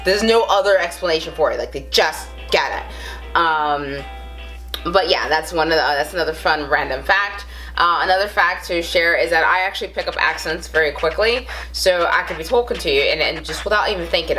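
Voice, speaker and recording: A woman's voice: deep voice, fairly quick, pushed and bright, faint music behind, poor audio. Chatty thirtysomething Brooklyn-accented woman. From the Bronx, New York. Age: 30s. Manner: rambling, chatty, with frequent fillers and self-amused laughs. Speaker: vlogger. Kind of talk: casual chat to camera.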